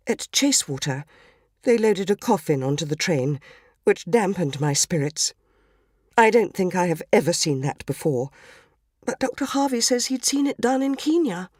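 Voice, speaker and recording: A woman's voice: medium pitch, a little mellow, husky, no echo, studio quality. A woman reading a dramatic story as a prim, tearful, naive English housewife from the 1940s, genteel, wistful and earnest, with an English accent. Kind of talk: dramatic first-person short story reading.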